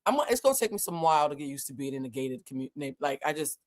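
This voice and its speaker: Animated, foul-mouthed woman telling a story. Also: low voice, fast talker. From Boston. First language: French. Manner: animated, profane, talks to viewers directly. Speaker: channel host. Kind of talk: casual storytelling to viewers.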